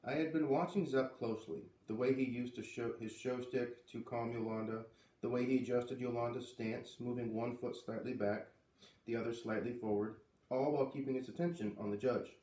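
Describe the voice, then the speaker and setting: A man reads a children's story aloud with expression, giving the dialogue a light lift. His voice sits at some distance from the microphone, with clear room echo around it. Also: medium pitch, steady tone, normal speed, dark tone. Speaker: reader of a children's book read-aloud series. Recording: roomy, voice away from the microphone.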